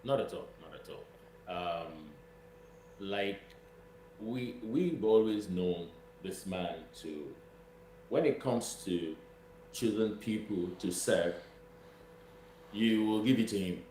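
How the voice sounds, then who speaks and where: A man's voice: on the low side, takes it slowly, pauses often, mellow. A man with a Nigerian accent giving political commentary as an interview guest, emphatic and repeating phrases for stress. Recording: roomy echo with noise and hum.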